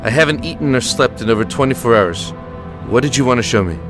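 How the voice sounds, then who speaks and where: An actor playing a tired but steady American army lieutenant in a war audio drama, with measured, reassuring authority. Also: male voice, medium pitch, music in the background, close to an everyday voice. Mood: steady, weary.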